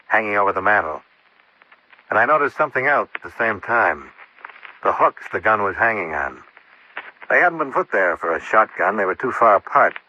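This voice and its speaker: An American actor playing a shrewd, blunt insurance investigator in a golden-age radio mystery. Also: male voice, lowish voice, a little hiss or hum, close to an everyday voice. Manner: blunt, probing, matter-of-fact. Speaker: lead actor in radio detective drama. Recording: muffled old-time radio recording.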